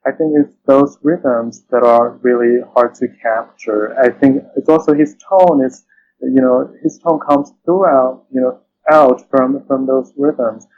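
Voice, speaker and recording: A man's voice: mid-range voice, fairly even intonation, unhurried. Muffled, hesitant man in a noisy recording. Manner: hesitant, reflective, often rephrases and checks with "right?". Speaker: interview guest, literary translator. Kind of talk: thoughtful interview conversation.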